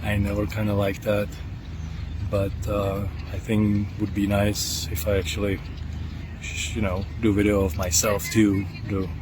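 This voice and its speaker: A man with a heavy Czech accent filming himself outdoors on a camera mic, talking through a product test in a casual vlog, with a halting delivery full of fillers and restarts. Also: on the low side, monotone. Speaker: product tester. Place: outdoors on trails and beach. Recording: outdoor noise.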